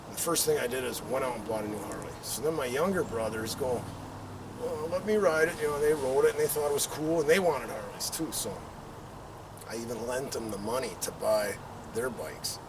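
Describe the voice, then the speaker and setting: A man's voice: mid-range voice, brisk. Middle-aged man storytelling, far from the mic. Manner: rambling, acts out quotes and engine sound effects. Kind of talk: animated casual anecdote telling. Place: outdoor backyard patio. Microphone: camera several meters away. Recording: distant mic, outdoor background noise.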